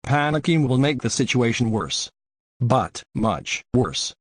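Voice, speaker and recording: A man acting as a male news anchor with a mid-pitched voice in a very dry recording. He delivers a scripted crisis bulletin in a plain, measured, earnest way. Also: sing-song, dry recording. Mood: concerned. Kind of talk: scripted fictional newscast.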